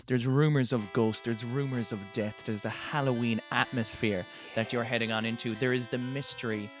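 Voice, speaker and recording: A man co-hosting a podcast, talking in a jokey, sweary, casual way and playfully telling the story of a game playthrough. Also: medium pitch. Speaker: podcast co-host. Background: clear background music.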